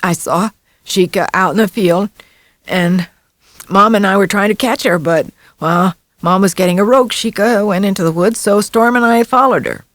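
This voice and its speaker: A woman voicing an excitable, easily frightened adolescent boy with a halting, wailing delivery in an audiobook. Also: mid-range voice, no echo, voice pushed up, lightly acted. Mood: scared, halting.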